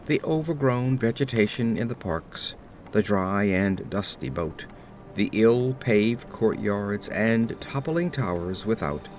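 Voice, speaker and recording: A man as a melancholy old nobleman, expressive gothic narration. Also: lowish voice, slight background noise. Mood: somber, melancholy. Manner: formal, measured, literary storytelling. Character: melancholy aged French nobleman recounting his accursed life. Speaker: narrator of an audio drama. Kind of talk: scripted gothic horror narration.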